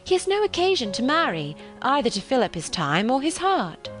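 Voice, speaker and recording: Female narrator as young period heroine, opinionated, easily appalled, exclamatory, very lively intonation, faint music behind. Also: high voice, a little fast, voice pushed up. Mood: dismissive, insistent. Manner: emphatic, exclamatory, formal period phrasing.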